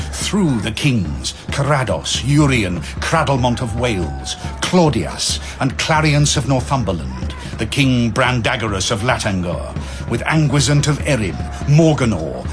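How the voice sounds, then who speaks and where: A man giving a scripted dramatic narration of verse, solemn and measured like a storyteller. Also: mid-range voice.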